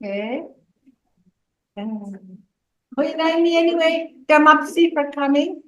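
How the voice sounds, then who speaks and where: A woman's voice: high-pitched, animated, slow pace, fairly bright tone, reverberant, lo-fi sound. A woman teacher in a classroom, hosting a video call and prompting her pupils to speak. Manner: prompting children, conversational.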